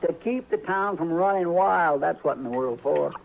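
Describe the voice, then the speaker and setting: An actor playing a cheeky, argumentative, folksy sidekick with a rural Western American drawl in an old radio drama. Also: male voice, fairly high pitch, normal speed, gravelly. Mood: testy, sassy. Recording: dull, noisy old radio recording.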